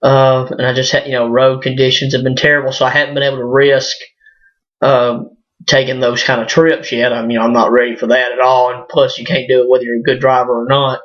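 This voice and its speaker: A hoarse man, his voice cracking a little, talks straight to camera in a loose and halting way, full of fillers and restarts. His pitch sits mid-range for a man. The sound is dull and lo-fi, picked up by the device's built-in mic. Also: level tone, a bit bright. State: hoarse, voice cracking from a sinus illness. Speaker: vlogger. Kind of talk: casual personal vlog monologue. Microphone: built-in device mic, no external microphone.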